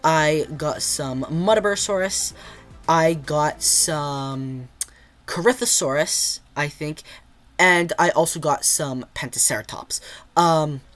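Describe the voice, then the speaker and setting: A woman's voice: deep voice, takes it slowly, fairly bright tone. A woman with a stuffy, slightly nasal voice gives hesitant, casual commentary over her gameplay, with many ums. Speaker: gameplay video creator. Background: faint background music.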